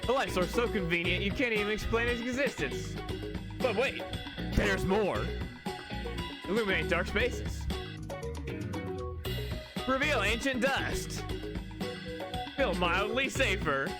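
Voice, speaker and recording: A man playing a slick, over-eager pitchman in an infomercial parody, with salesy emphasis. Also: animated, slow pace, long pauses. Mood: upbeat, persuasive. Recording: music behind the voice.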